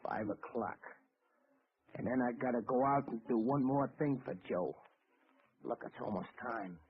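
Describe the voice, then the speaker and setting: An actor playing a simple-minded young killer in a 1940s radio drama, who talks in a slow, childlike way with rough grammar. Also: male voice, mid-range voice, slight background noise. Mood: brooding. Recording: tinny old radio broadcast with music.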